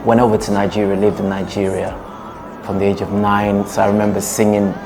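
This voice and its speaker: Low-voiced man explaining his music. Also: level tone, gravelly. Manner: measured, explanatory, slows down for emphasis. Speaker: musician, one half of a duo. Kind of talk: relaxed interview talk.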